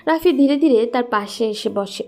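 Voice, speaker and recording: A woman's voice: on the high side, animated, moderate pace, a little mellow, faint music behind. A woman narrator reading a scripted love story.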